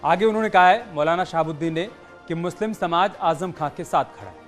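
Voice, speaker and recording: A man's voice: on the high side, brisk, soft background music. A man presenting a news bulletin as an anchor.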